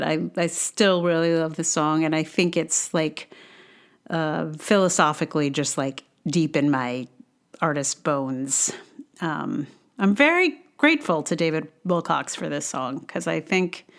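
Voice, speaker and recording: A woman hosting a podcast alone, talking casually and haltingly as she thinks aloud in loose, informal phrases. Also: low voice. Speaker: podcast host. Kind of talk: casual solo podcast talk.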